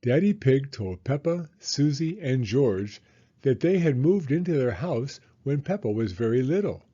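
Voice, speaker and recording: Slow-reading man telling a children's story. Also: mid-range voice, sing-song, warm tone, husky, lo-fi sound. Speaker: storybook reader. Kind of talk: expressive children's story read-aloud.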